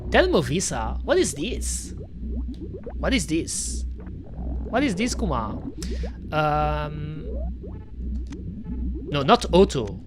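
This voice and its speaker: Belgian-accented, French-native streamer; chatty casual game commentary, very animated pitch. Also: slow, long pauses, over music. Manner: chatty, talks to chat, lots of "you know" and "pretty much".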